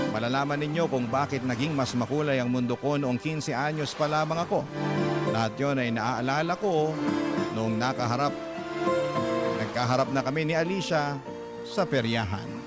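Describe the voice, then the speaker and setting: A man's voice: medium pitch, normal speed. A man with a Filipino accent reading a scripted first-person story as the host of a storytelling show. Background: prominent guitar music.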